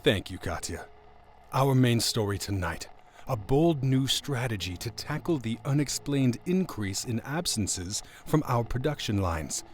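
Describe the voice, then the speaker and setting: A man's voice: medium pitch, expressive, measured pace, close to an everyday voice. Male news anchor actor, medium register, polished and formal, slight background noise, audio drama. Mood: formal, brisk.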